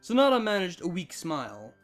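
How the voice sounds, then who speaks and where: Animated fiction narrator, mid-range voice between male and female, soft music underneath. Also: medium pitch, brisk. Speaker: narrator, fan fiction reader. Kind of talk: expressive scripted storytelling narration.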